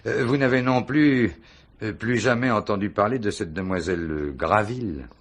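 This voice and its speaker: A man's voice: on the low side, moderate pace. An actor playing a wily, insistent police commissioner in a French radio mystery, repeating his words with probing, mock polite courtesy. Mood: probing, courteous. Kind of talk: French radio crime drama acting.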